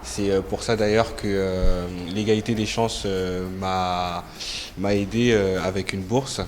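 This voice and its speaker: A man's voice: low-pitched, fairly even intonation, unhurried. A man in his twenties, a student answering an interview very hesitantly with constant fillers. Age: 20s. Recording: noisy background ambience.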